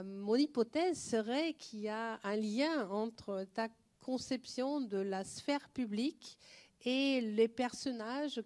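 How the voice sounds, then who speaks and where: A woman moderator poses a question at a conference centre master class, pausing often and speaking slowly. Her voice is fairly high. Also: darkish tone. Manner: slow, hesitant, switching between languages.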